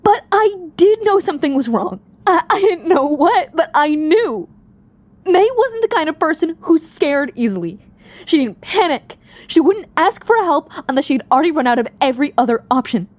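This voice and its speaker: A woman's voice: sits high, very animated, moderate pace. A woman acting in an audio drama as a guilt-ridden young woman searching for her missing sister. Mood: anguished, tearful.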